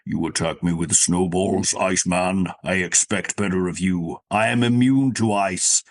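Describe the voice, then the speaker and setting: A haughty robot-like cartoon villain, put on by a man. The voice sits low, and the delivery is pompous and scornful, with a mechanical stiffness. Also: steady tone, unhurried.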